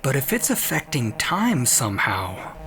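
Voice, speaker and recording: A man's voice: medium pitch, wildly swooping pitch, unhurried, noisy background, voice pushed up. A man playing an anxious, curious scientist in a sci-fi audio drama, hesitant and intense. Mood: stubborn curiosity. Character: awed, anxious male scientist, curious and stubborn. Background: prominent dramatic music.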